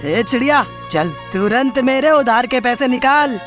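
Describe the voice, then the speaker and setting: Woman acting a male crow, cartoon, high pitch, stern, commanding, emphatic, faint music behind. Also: lively intonation, unhurried. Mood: demanding, threatening. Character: bossy male crow moneylender, stern and demanding. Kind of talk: Hindi children's cartoon story acting.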